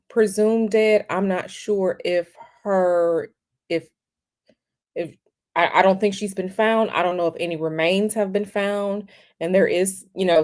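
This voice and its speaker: A woman tarot reader speaking to her livestream viewers at an unhurried, drawn-out pace. She is sniffly from a cold and talks in a halting, repetitive way, with fillers and restarts. Her pitch sits mid-range for a woman. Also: a little mellow. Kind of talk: casual livestream chat.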